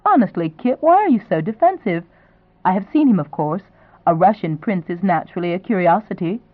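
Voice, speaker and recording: A woman's voice: mid-range voice, a little fast, dry recording, lightly acted. A woman voicing a young woman who chatters eagerly and gushes. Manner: eager, gushing, lively. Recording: tinny, phone-like sound.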